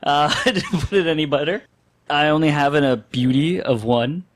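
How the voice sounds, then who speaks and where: A man's voice: on the high side, moderate pace. Casual, joking man in a game chat. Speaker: tabletop game player on a podcast.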